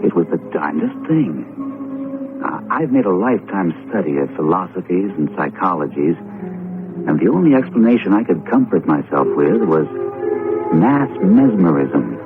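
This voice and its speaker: A man acting in a vintage radio drama as a hearty, pragmatic academic friend who explains things in a folksy, expressive way. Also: sits low. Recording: noisy, muffled old radio broadcast.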